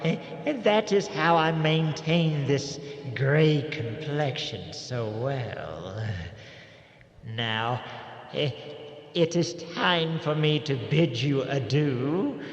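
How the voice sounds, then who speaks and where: An actor playing a creepy, genial old morgue attendant who tells horror tales on an old-time radio show, halting and fond of macabre puns. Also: male voice, fairly high pitch. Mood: sly, genial. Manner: halting, chuckling, drawn-out macabre punning. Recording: dull, echoey radio recording with long reverb.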